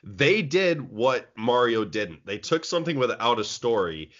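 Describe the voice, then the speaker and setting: A man's voice: medium pitch, very animated, a little slow, a bit bright, lo-fi sound. A man co-hosting a casual podcast chat about movies, rambling with many restarts. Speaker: podcast co-host.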